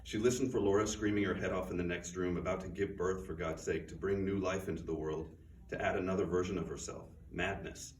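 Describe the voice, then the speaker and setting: Deep-voiced man reading in a roomy store. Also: fairly even intonation, fairly quick. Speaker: author reading his fiction. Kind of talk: live fiction reading. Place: bookstore event room. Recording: roomy, distant, faint noise.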